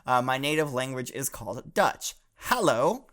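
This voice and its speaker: A man playing a good-humored professor at the front of a mock classroom. His pitch rises and falls a great deal as he reads out letters and banters with his students. He hesitates often with fillers and laughs easily. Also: animated, a little slow, fairly bright tone, slight background noise. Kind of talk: comedic improvised class roleplay.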